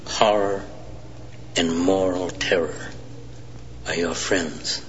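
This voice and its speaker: Out of a muffled, hiss-laden film clip comes a brooding renegade colonel. His voice sits very deep and barely moves, and he drags each phrase out slowly in a low half whisper. He sounds menacing and older. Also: steady tone, a bit bright. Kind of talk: film dialogue.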